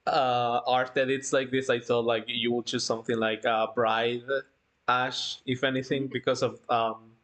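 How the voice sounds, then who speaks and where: A man hosting a casual livestream chat, talking informally in a halting way and often repeating himself. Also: mid-range voice, flat delivery, a bit bright. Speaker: livestream host.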